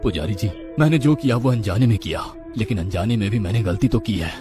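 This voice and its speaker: A man's voice: lowish voice, expressive, fast talker, lightly acted. A man voicing a simple, devout village butcher in a cartoon, earnest and apologetic. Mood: humble. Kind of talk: cartoon voice acting. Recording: background music under voice.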